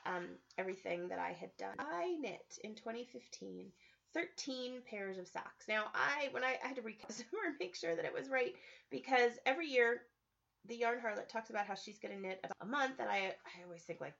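A woman hosts her podcast in a chatty, rambling way, with plenty of "um"s and a voice weakened by a cold. Her tone has a gravelly, fried edge. The recording sounds a bit dull and lo-fi. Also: medium pitch, a bit bright. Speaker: podcast host. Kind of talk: casual podcast chat.

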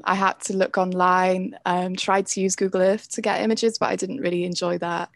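A woman's voice: on the low side, little pitch movement, normal speed, dry recording. A young woman from Wales, a photographic artist, talking through her work in a thoughtful, hedging way. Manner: thoughtful, hedging, frequent "like" and "I think". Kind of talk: conversational interview.

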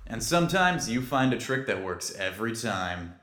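A man playing a brooding kobold detective in a noir audio drama, wry and terse, with dramatic pauses. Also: on the low side, very animated, background noise. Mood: smug narration. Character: brooding male kobold detective, hard-boiled noir sleuth.

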